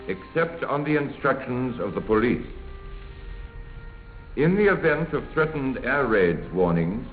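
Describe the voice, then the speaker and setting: A man as a formal, authoritative wartime radio announcer reading official instructions in a measured manner. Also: mid-range voice, echoey room. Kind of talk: 1940s wartime public information radio announcement. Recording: crackly tinny old radio broadcast. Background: music.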